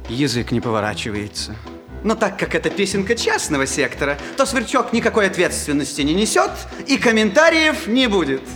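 An actor playing an officious, stern commentator in a 1960s radio comedy, with a dry, pompous, formal manner. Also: male voice, high voice, big pitch swings, brisk. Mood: officious, disapproving. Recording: music under the voice.

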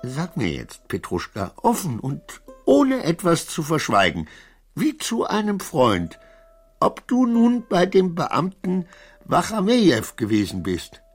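An actor in a German radio play as a suspicious, agitated titular councillor, halting and repetitive, scolding and wheedling his servant. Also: fairly high pitch, wildly swooping pitch, husky, faint music behind, heavily acted. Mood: wheedling, urgent.

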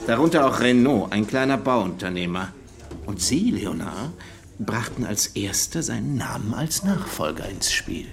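Shrewd detective with lively intonation. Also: male voice, lowish voice, faint music behind. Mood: insinuating. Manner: deliberate, pointed storytelling. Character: shrewd, methodical male police detective. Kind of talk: radio drama acting.

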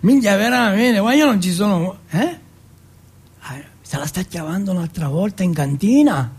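A man playing an excitable, foul-mouthed teenage street boy from Turin in a stage monologue, slangy and repetitive. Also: high-pitched, moderate pace, breathy edge, full character voice. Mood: agitated, pleading. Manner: slangy, repetitive, swearing at every breath.

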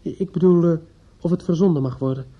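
A man's voice: fairly high pitch, fast talker, slightly rough. An actor playing a distraught, desperate young man in a radio play, hesitant and stammering as he speaks. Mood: nervous, hesitant. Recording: muffled old radio play recording.

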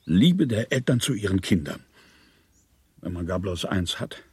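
An actor playing an older father in a radio play, weary, gruff and soft-hearted underneath, impatient, with a breathy, very husky voice. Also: low-pitched, lively intonation, measured pace, exaggerated voice. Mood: gruff, insistent.

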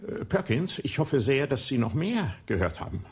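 An actor playing a shrewd police inspector in a radio play, with a husky voice, probing and ironic. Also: male voice, medium pitch, big pitch swings, moderate pace.

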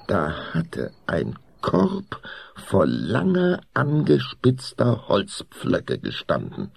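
A man narrating a children's audio drama in a measured, suspenseful way. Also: rumbling low, gravelly, a little mellow, husky.

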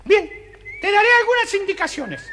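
Very high bright voice, tiny bossy forest dwarf, exclamatory, teasing, storybook record. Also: very animated, normal speed, a little hiss or hum, full character voice. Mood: instructing. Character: cheeky bossy little forest dwarf jester with magic. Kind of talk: children's audio story acting.

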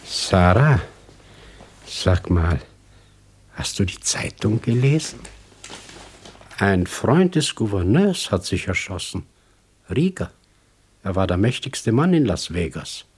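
Honest worried shopkeeper in radio drama, husky, melody goes up and down, hesitant and polite. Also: male voice, lowish voice, big pitch swings, takes it slowly, pauses often, heavily acted. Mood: pondering, uneasy. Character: honest, brooding male toy shopkeeper, a troubled witness. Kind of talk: German radio crime drama acting.

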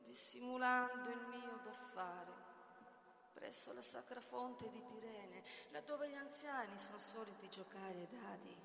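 A woman performing a doomed, otherworldly child of Medea in solemn poetic stage recitation, declamatory and often dropping into breathy whispers. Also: medium pitch, fairly bright tone. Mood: grave narrating. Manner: slow, declamatory, breathy whispers at phrase ends. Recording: reverberant hall-like echo.

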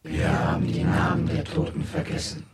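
An actor playing a mournful citizen, intoning measured litany and prayer in a radio play. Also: male voice, on the high side, big pitch swings, over a noisy crowd. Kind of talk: liturgical chant-like radio play delivery. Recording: echoey room, loud music behind.

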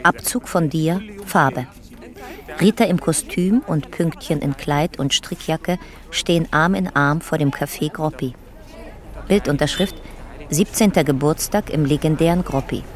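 An actress playing a detached narrator who reads out photo captions in a radio play. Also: female voice, sits low, a little slow. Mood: factual.

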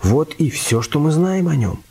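An actor playing a keen, logical young amateur detective in a radio drama. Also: male voice, a little high, big pitch swings, normal speed, breathy edge, heavily acted. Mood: analytical. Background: loud music.

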